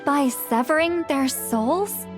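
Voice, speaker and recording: A woman's voice: high-pitched, slow, over music. A woman playing a casual, confident young woman in a video game, an expert with a wry manner. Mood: puzzled.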